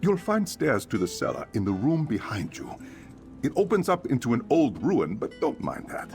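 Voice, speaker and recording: An actor playing a chatty, grumbling but curious innkeeper in a fantasy video game, expressive and musing aloud. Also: male voice, mid-range voice, moderate pace, soft background music. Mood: breezy. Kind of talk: video game character acting.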